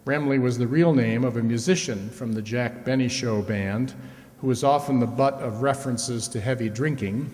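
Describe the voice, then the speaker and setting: A man's voice: medium pitch, normal speed, darkish tone. A man with a mid-range voice reads a prepared introduction as the evening's host. His voice carries long reverb from a hall. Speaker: host introducing a radio players' show. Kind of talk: scripted stage introduction. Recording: reverberant hall, live stage.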